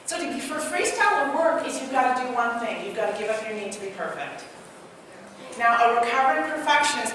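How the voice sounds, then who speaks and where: A woman with a Trinidadian accent, a motivational speaker giving an energetic live talk to an audience in a large hall, asking them questions and telling personal stories. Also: fairly high pitch, a little hiss or hum. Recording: echoey hall, mic heard from a distance.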